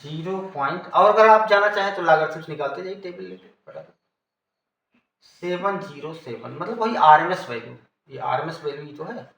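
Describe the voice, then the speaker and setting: High-pitched Indian man teaching, echoey room. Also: lively intonation, normal speed, gravelly, fairly bright tone. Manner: didactic, repeats and rephrases phrases. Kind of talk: informal technical lecture with step-by-step explanation. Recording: echoey room with faint background noise.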